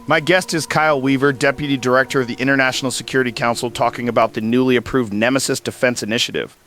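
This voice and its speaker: A man's voice: mid-range voice, steady tone, quick pace, fairly bright tone, lightly acted. A man playing a blunt, skeptical talk show host with a casual, challenging way of interviewing. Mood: dry, casual.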